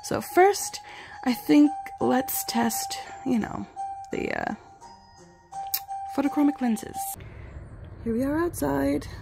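A woman vlogger sick with the flu, her voice gravelly, chatting in a rambling, self-deprecating way while reviewing glasses at home. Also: sits high, slow, long pauses, over music, rough recording. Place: home, indoors and outdoors in yard.

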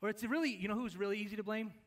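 A man's voice: high-pitched, rapid-fire, a bit bright. A middle-aged male pastor preaching from a church stage into a headset mic. He talks in a conversational way, asking the congregation questions and gesturing as he moves around. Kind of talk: sermon.

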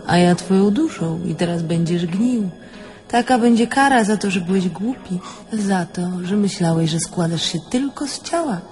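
A dead man's soul in a radio drama. Her voice is fairly low, condemning and declamatory, full of scorn, over faint music. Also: darkish tone. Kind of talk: theatrical radio-play monologue.

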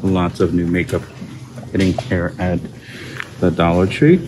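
A man with a slightly low voice talks casually through a shopping walkthrough, upbeat and full of little fillers as he reads labels aloud. He records on a handheld phone inside a big store, with a clear hum of shop noise around him. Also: little pitch movement, unhurried. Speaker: shopping vlog host. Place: inside a large discount store. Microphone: handheld phone camera. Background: store ambience.

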